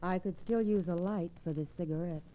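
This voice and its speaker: A woman plays a wealthy young widow in an old radio mystery, cool and curt. Her voice is low and velvety, on a dull vintage recording. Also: fairly quick, mellow, natural voice. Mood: icy, unmoved. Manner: curt, composed. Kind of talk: 1950s radio drama acting.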